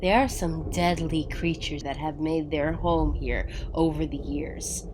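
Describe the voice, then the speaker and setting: A woman's voice: deep voice, a little slow, background noise. A woman playing a stern, seasoned explorer with a curt, exasperated manner in an audio drama. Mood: stern, warning.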